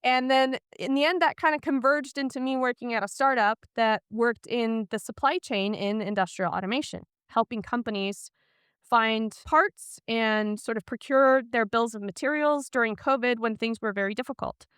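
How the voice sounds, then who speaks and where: A woman's voice: a little high, normal speed, a bit bright, smooth, no echo, studio quality. A woman from Iceland speaking as a podcast guest, telling her career story in a candid, chatty way.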